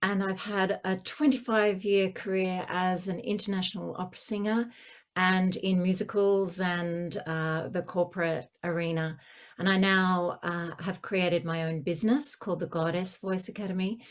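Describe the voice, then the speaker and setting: Articulate woman, lower voice. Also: steady tone, moderate pace. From Australia. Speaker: speaker coach, former opera singer. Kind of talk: video panel introduction.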